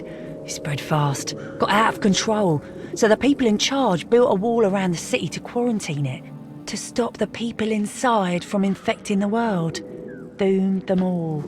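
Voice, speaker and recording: Music plays behind a hardened wasteland woman, voiced by an actress in a game. She has a medium-pitched voice and sounds wry, knowing and matter-of-fact. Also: unhurried, natural voice. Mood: grim, knowing. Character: tough, knowing female post-apocalyptic scavenger. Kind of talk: video game character acting. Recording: music under the voice.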